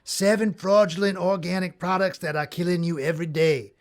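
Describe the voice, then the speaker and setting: Man playing a high-voiced, swaggering Death. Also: steady tone, a little fast, close to an everyday voice. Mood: smooth persuading. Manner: slangy, swaggering sales-pitch talk. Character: Death, brash scheming male horseman of the apocalypse. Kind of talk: audio comedy drama acting.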